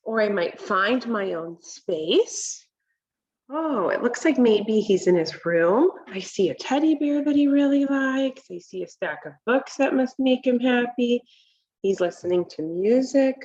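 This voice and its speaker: A woman in her thirties reading a picture book aloud to children, deliberate and instructional, pausing to explain and ask questions. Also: fairly high pitch, lively intonation, a little mellow, dull audio. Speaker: read-aloud presenter for children. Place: home kitchen, indoors.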